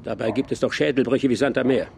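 A man playing an obsessive, excitable scientist, a pompous and halting professor in a German radio crime drama. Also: mid-range voice, close to an everyday voice. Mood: impatient, obsessive. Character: obsessive, excitable professor running transplant experiment. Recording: clear background noise.

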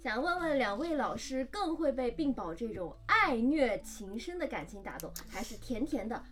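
Lively, slightly high-voiced woman. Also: normal speed. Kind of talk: casual group chat, interjections. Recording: clear music behind the voice. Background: music.

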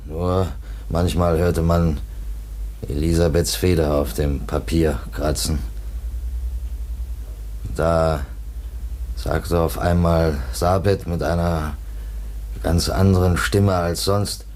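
A really deep slow grave dad in a radio play, halting and solemn. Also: male voice, little pitch movement, darkish tone, slight background noise. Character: grave family man, haltingly recounting a mystery. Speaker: character in radio drama. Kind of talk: German radio drama acting.

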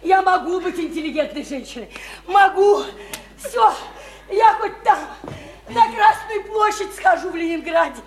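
A woman's voice: very high-pitched, animated, measured pace, heavily acted. An actress in a radio play voicing an overwrought provincial woman desperate to escape, gushing and repeating herself in colloquial speech. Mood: ecstatic hysteria. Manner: gushing, repetitive, colloquial, hysterically elated. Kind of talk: theatrical Russian radio play acting. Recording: roomy, echoing stage-like radio play recording.

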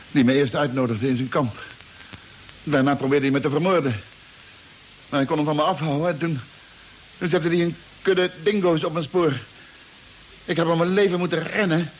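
A drained, lost spaceman in an old radio serial, as an actor plays him. He speaks in weak, halting bursts, his voice a touch high. Faint tape hiss runs underneath. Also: close to an everyday voice, rough recording. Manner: halting, breathless delivery. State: weak and exhausted. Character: lost spaceman, exhausted, stranded in desert. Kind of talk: 1950s radio drama acting.

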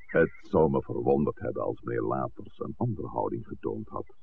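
An actor playing an older, authoritative village priest, speaking formally and eloquently in a 1960s radio drama. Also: male voice, a bass voice, vocal fry, heavily acted. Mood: pointed, probing. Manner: formal, measured, eloquent, commanding. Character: old village priest, authoritative, stern, protective of his flock. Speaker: parish priest. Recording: tinny, noisy 1960s radio recording.

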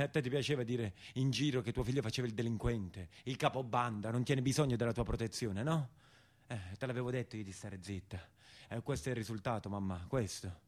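Young imprisoned brigand played by a male actor: low, bitter, urgent, southern Italian accent, intimate stage monologue. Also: darkish tone, lightly acted. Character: anguished young male brigand pleading with his mother. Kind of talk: one-man theatrical monologue.

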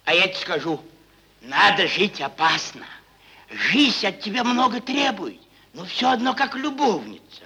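A man's voice: sits high, very animated, measured pace, bright, forceful tone, full character voice. An actor playing a crude, mocking merchant boss who rambles and repeats his words in a theatrical stage style. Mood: rambling musing. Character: crude, drunken, bullying male merchant boss, cynical and rambling. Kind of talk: theatrical stage acting, Russian classic prose.

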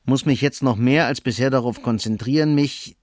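A man's voice: medium pitch, normal speed, darkish tone, no echo, studio quality, lightly acted. A man playing an irritable, blunt, colloquial voice-over reader in a naturalistic radio drama. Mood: reading aloud.

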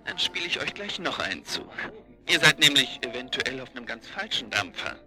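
A man's voice: mid-range voice, very animated, a little fast. An actor in a German radio drama playing an eager, insistent man passing on information in an urgent, colloquial way.

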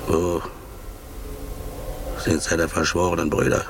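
Vengeful zealot cobbler, brooding and declamatory, slow creaky delivery, vintage noisy radio drama. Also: male voice, deep voice, level tone. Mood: grim brooding. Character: fanatical, vengeful cobbler guarding a church with a cudgel. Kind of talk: 1950s German radio drama acting.

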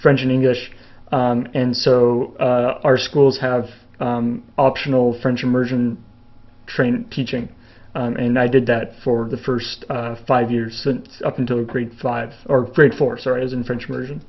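A young man from Canada chats casually while he answers viewer questions. His voice sits at an ordinary middle pitch and wanders through fillers and restarts. The sound is a bit dull and lo-fi. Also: level tone, a little slow. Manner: rambling, many fillers and restarts, laughs a little. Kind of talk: casual live Q&A chat.

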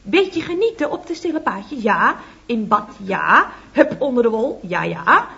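Cheerful, lively secretary; very animated voice, muffled old radio sound. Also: female voice, a little high, measured pace, gravelly, full character voice. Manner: chatty, lively phone voice. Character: chatty, cheerful female office secretary. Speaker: office secretary answering the phone. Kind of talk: radio comedy sketch.

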